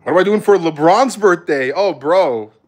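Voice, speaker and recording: A man's voice: high voice, animated, normal speed, a little hiss or hum. American guy streamer, casual slangy talk, kinda blunt, answering chat questions. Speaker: streamer, content creator.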